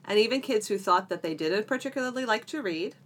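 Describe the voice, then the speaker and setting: A woman's voice: mid-range voice, animated, quick pace. A middle-aged woman co-hosting a podcast in a casual, chatty style with a playful, bantering manner. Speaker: podcast co-host.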